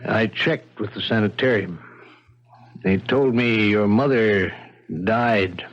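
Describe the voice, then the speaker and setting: A man playing a tough, shrewd police lieutenant in a 1970s radio drama, husky, blunt and deliberate, on a muffled recording. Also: on the low side. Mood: casual, probing.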